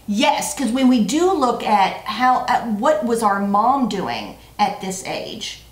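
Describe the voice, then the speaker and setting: A woman in her forties co-hosts a casual vlog in a roomy, echoing space. Her voice sits fairly high. She talks playfully and leans on repetition for emphasis. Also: very animated, slow. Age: 40s. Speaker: vlog co-host. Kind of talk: casual chat, answering tag questions. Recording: roomy, echoey sound.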